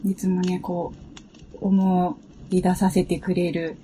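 A woman's voice: fairly low pitch, level tone, slow, mellow, slight background noise, low-quality recording. A woman speaking as a guest in casual chat, hesitantly piecing her thoughts together. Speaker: talk show guest.

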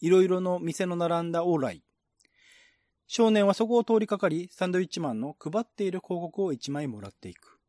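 Higher-voiced man narrating, dry sound. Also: lively intonation, brisk, mellow, no echo. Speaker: narrator, reader. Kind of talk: scripted narration, radio-drama style literary reading.

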